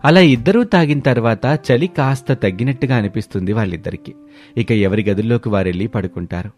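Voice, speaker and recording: A man's voice: medium pitch, fairly quick, a little mellow, soft background music. A man narrating a scripted moral story.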